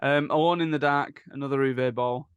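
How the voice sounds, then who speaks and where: Mid-pitched man hosting a podcast. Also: steady tone, normal speed, smooth. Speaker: podcast host moving the discussion along. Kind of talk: casual film discussion.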